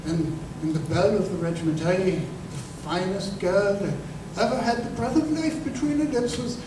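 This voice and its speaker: A man playing an embittered working-class ex-corporal in an old-time radio drama, speaking haltingly and emphatically. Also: high voice, lightly acted. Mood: wistful, bitter recollection. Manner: halting, repeats words, emphatic. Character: embittered crippled ex-corporal recalling his betrayal, earnest and working-class. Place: live stage performance in a museum. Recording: echoey live performance, distant mic, noisy room.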